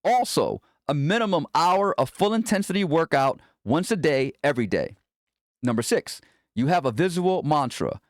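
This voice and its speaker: A man narrates a memoir in a slightly high voice with very animated intonation. The delivery is blunt, wry and conversational, and the sound is dry and close. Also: normal speed, no echo. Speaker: narrator reading a book chapter aloud. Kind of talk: scripted first-person memoir narration.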